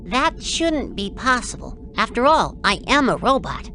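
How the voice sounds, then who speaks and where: A woman voicing an excitable, theatrical robot helper in an educational cartoon game. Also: on the high side, measured pace, a little hiss or hum, exaggerated voice. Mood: matter-of-fact. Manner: theatrical, exclamatory. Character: excitable, melodramatic robot helper of young spies.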